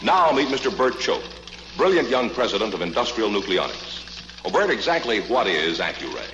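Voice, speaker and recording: Emphatic 1950s pitchman, male, very animated intonation, echoing old broadcast sound. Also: normal speed, dull audio. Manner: emphatic, polished pitchman delivery. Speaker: commercial announcer. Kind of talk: 1950s radio advertising. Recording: echoey old radio ad with music.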